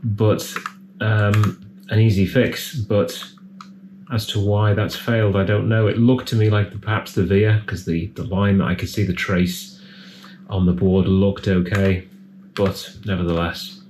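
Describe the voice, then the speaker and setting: Low-voiced man thinking aloud, noisy background. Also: flat delivery, unhurried. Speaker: electronics repair technician presenting a repair. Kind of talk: casual hands-on repair commentary.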